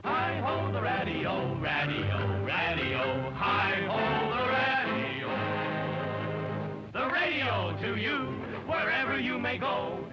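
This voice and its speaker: Male singer, high voice, 1930s novelty song, loud music, muffled vintage recording. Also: sing-song, slow pace. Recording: dull old recording with band music.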